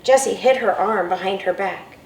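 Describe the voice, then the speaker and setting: A woman, a school principal, reading a picture book aloud in an expressive storytelling way. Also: mid-range voice, normal speed, gravelly, a little hiss or hum. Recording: roomy, from a distance, faint ambience.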